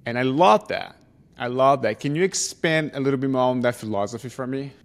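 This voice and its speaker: A man hosting a podcast interview, talking with warm enthusiasm and a loose, conversational flow. His voice sits at an everyday middle pitch. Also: fairly quick. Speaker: podcast host. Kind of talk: interview questions.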